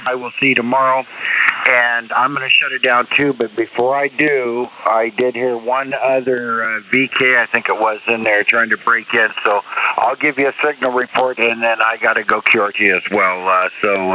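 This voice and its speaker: A man's voice: medium pitch, flat delivery, moderate pace, phone-quality sound. A man working as an amateur radio operator, chatting casually and giving call signs. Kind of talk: casual ham radio exchange. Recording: band-limited shortwave radio, loud static.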